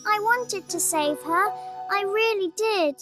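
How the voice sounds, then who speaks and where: A woman voicing a gentle, sorrowful, mysterious little girl who speaks softly and haltingly in a fantasy game. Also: very high-pitched, over music. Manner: soft, halting, regretful. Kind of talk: animated fantasy game voice acting.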